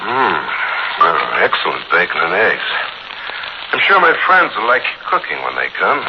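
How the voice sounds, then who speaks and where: Threatening ex-convict gunman, curt, low voice, wildly swinging intonation, radio drama. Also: huge pitch swings, moderate pace. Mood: smug menace. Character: menacing, wounded male ex-convict gunman, increasingly desperate. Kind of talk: 1940s radio thriller acting. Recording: muffled, noisy 1940s radio recording.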